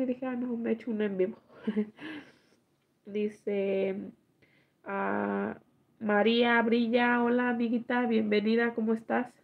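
A woman, hoarse from allergies, chatting slowly with viewers and reading their comments aloud on a live stream from home at night. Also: mid-range voice, lo-fi sound. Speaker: livestream host reading chat. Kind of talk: casual live chat with viewers.

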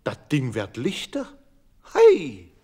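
Man putting on a loud, high-pitched rustic farmer. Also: wildly swooping pitch, takes it slowly, husky, exaggerated voice. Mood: startled, blunt. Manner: hollering, blunt commands. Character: bossy rustic male farmer, loud and blunt.

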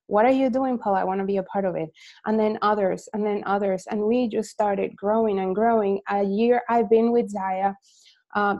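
A woman in her forties speaks on a video call from a room at home. She tells her own story with energy and candor, rambling a little as she pitches her sales team. Her voice is mellow and warm, sitting at an ordinary pitch. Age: 40s. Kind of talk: motivational talk on a video call.

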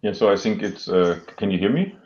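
Deep-voiced middle-aged man, dull call audio. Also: expressive, brisk, darkish tone. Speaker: panelist, security expert. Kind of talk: panel discussion, conversational explanation. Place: room indoors, video call.

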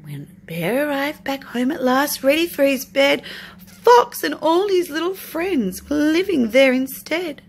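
A woman's voice: high voice, big pitch swings, measured pace. A woman narrating a children's storybook expressively as a storytime reader. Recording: noisy home recording.

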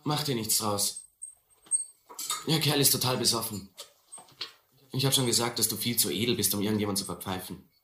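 An actor playing a cool, proud young boat thief, curt and street-tough, in a German radio play. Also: male voice, lowish voice, normal speed, long pauses, roomy sound, noisy background, lightly acted. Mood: cool, dismissive.

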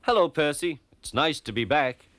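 A man voicing a stern, pompous male railway boss who speaks in a measured, formal way. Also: on the high side, lively intonation, background noise, voice pushed up, lightly acted. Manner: measured and formal, pauses for effect. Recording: music playing behind.

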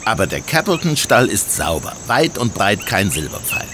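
A dutiful police inspector in a radio drama. His voice is very deep, with lively intonation and an official, matter-of-fact manner. Clear outdoor insect ambience runs behind him. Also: moderate pace, background noise. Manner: factual, official. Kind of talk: radio play acting.

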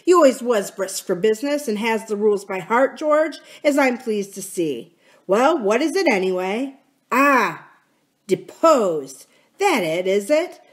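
A woman's voice: fairly high pitch, expressive, unhurried, pitched above the performer's own voice. A woman voicing a cunning, scornful male pirate captain and sea cook in a storybook, with sardonic, folksy sailor talk. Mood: sarcastic. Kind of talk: dramatic storybook character reading.

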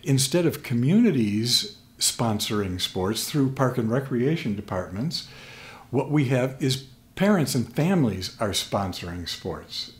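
An older man with an American accent, explaining things as an expert guest in an interview, in measured phrases with pauses. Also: medium pitch. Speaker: guest expert, sports sociologist. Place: small plain room at a table, indoors. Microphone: desk microphone on stand.

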